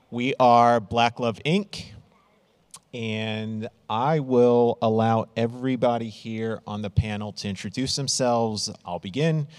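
Man hosting a panel, unhurried and easygoing, slightly low voice. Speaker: panel moderator. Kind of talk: panel discussion.

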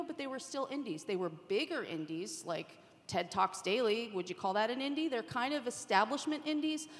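A woman speaking on a conference panel in an informal, emphatic, conversational way. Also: medium pitch. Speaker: podcast industry revenue executive, panelist. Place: large room at a conference panel. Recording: echoey hall with long reverb.

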